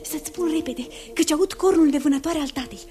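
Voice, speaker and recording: An actress playing a tender young enchantress, a sorcerer's daughter, in 1960s radio theatre, with breathy, dramatic delivery. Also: female voice, high-pitched, natural voice. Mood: hurried, secretive. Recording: faintly noisy 1960s radio recording.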